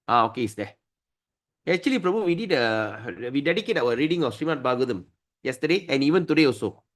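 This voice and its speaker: A man's voice: medium pitch, animated, brisk, long pauses. A man teaching a scripture study class, emphatic and often asking his listeners "right?".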